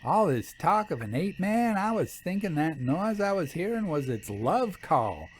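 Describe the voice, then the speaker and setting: A man's voice: high voice, big pitch swings, a little hiss or hum, voice pushed up. A man voicing a cheerful, folksy young country wife in a storybook reading. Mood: amused, startled. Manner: folksy, teasing, quick to laugh.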